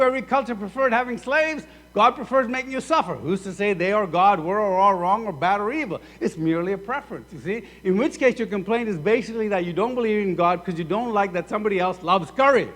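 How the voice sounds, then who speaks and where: A man's voice: high-pitched, quick pace, hardly any pauses. A man from Sudan preaching a sermon as pastor, acting out both sides of imagined conversations. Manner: animated, acts out both sides of dialogues. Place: church sanctuary. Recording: echoey hall reverb.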